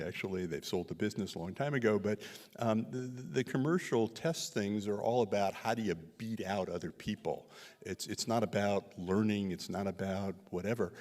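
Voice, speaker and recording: A man with a very low voice answers audience questions in a synagogue hall, and his words trail with a long reverb. He talks haltingly, full of "um"s and restarts, on a microphone. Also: a little mellow. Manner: halting, many fillers and restarts. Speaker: visiting researcher presenting a community study. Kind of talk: Q&A answers after a talk. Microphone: handheld microphone.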